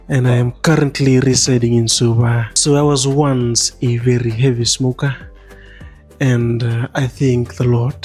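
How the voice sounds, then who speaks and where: A man's voice: mid-range voice, darkish tone, slightly rough. A Fijian man in his twenties with a Fijian accent, earnestly sharing his testimony as a podcast guest. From Fiji. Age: 20s.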